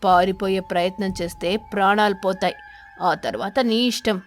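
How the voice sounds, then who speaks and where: A woman voicing a vengeful female ghost sparrow in an animated children's tale, threatening and accusing as she interrogates. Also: medium pitch, normal speed, slight background noise, lightly acted. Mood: menacing. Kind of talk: animated children's story character voice.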